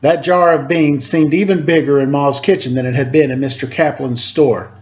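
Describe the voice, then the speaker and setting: A man's voice: a little high, steady tone, normal speed, a little mellow, dull audio. A man reading a children's picture book aloud as a storyteller. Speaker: storyteller, teacher.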